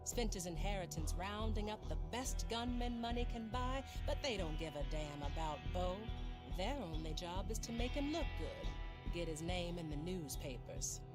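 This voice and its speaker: A woman's voice: fairly low pitch, expressive, normal speed, lightly acted. An actress playing a tough female bounty hunter in a western game, with an American accent and a blunt, folksy, sardonic drawl. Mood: mocking. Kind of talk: dramatic game cutscene acting. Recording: music under the voice.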